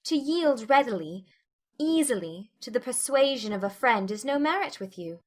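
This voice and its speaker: An actress playing a witty, self-possessed young gentlewoman, formal and pointed, in a dramatised classic novel. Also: on the high side, animated, moderate pace. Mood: challenging.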